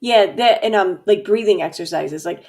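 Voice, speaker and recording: A woman's voice: mid-range voice, normal speed, darkish tone. Chatty woman hosting a livestream, laughs a lot, talks over a video call. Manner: chatty, laughs often, reads out viewer comments. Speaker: host. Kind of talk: casual livestream chat.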